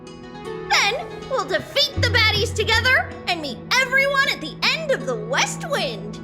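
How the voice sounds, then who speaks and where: Tiny plucky game girl, super high and bouncy, music behind. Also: big pitch swings, measured pace, full character voice. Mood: eager. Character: small cheerful excitable girl companion, earnest and plucky. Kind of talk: animated game voice acting.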